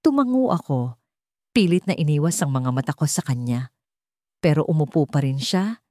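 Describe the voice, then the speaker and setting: A woman's voice: low-pitched, lively intonation, moderate pace, a little mellow, dry recording, studio quality. A woman reading a scripted first-person romantic story as a storyteller.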